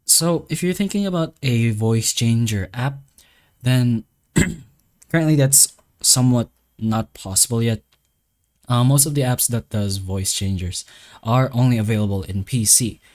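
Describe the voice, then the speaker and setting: A man's voice: medium pitch, a little slow, a little mellow. A man hosting a tutorial, explaining in a loose, semi-improvised way with hesitant, wordy phrasing. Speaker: tutorial host.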